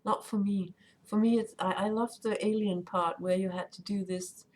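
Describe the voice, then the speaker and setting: A woman's voice: mid-range voice, fairly even intonation, normal speed, a little mellow. Chatty woman streaming a game. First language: Danish. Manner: chatty, rambling, reads chat aloud. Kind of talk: casual live-stream chat while gaming. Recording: faint background noise.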